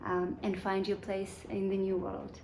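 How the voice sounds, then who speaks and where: A woman in her forties from Kazakhstan speaks in a measured, thoughtful way, pausing often to find her words. Her pitch stays fairly level. The room sounds echoey, with a faint hiss underneath. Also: completely flat delivery, a little mellow. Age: 40s. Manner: measured, thoughtful, many ums and restarts. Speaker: guest, UN section chief. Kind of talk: interview answers.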